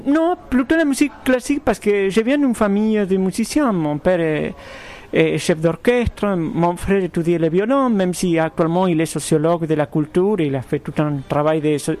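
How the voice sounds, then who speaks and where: Guy, pretty high voice, composer in an interview, stops and restarts a lot as he thinks. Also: a little mellow. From Argentina. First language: Spanish. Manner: thoughtful, halting, many restarts. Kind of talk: radio interview.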